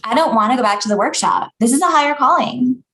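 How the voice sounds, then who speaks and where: A woman's voice: high voice, quick pace, noisy background. A woman playing a blunt, ambitious young elf actress in a comedy table read, dry and dismissive.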